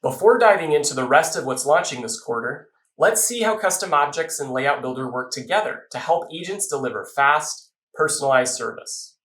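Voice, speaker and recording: A man's voice: on the high side, animated, normal speed, few pauses, fairly bright tone, echoey room, low-quality recording. A man giving a polished, explanatory corporate product presentation from a script.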